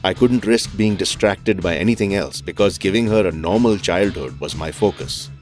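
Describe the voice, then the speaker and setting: A man's voice: low voice, a little mellow, faint music behind, natural voice. A man playing a reserved, courteous middle-aged psychiatrist who speaks formally in an audio drama. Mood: reflective. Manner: formal, measured wording. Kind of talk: conversational audio drama acting.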